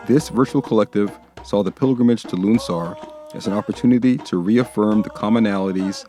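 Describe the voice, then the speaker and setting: Mellow-voiced male documentary narrator. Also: lowish voice, brisk, faint music behind. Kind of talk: scripted voice-over narration.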